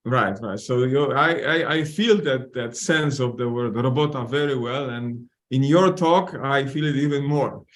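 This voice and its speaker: A man's voice: mid-range voice, unhurried, hardly any pauses. Hesitant man, Polish native speaker. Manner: hesitant, many fillers and restarts, courteous. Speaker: surgeon and webinar panelist. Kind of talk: webinar discussion.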